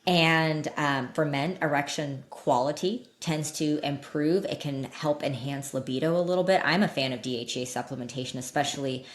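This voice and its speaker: A woman with a slightly deep voice, speaking as an expert guest in a candid, informal, emphatic way, explaining things in an interview conversation. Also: normal speed, few pauses. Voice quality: slightly deep voice that cracks when loud. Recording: roomy echo.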